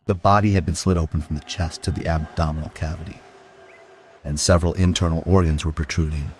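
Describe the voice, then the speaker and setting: A man playing a world-weary police detective, narrating a crime audio drama in a measured, musing way. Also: very deep voice, fairly even intonation, darkish tone, a little hiss or hum. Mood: grave. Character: world-weary male police detective telling his case.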